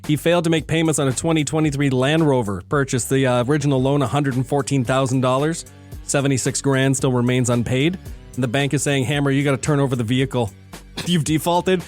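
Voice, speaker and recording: A man's voice: mid-range voice, fairly even intonation, brisk, a little mellow, faint music behind. A man co-hosting a morning radio show, chatting casually in a joking, colloquial way. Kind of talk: casual on-air chat. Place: radio studio.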